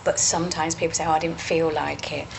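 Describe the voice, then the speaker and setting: A woman's voice: low voice, flat delivery, fast, gravelly. A woman chatting earnestly about running, talkative and encouraging. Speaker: interviewee, parkrun runner. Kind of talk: casual chat. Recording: noisy background.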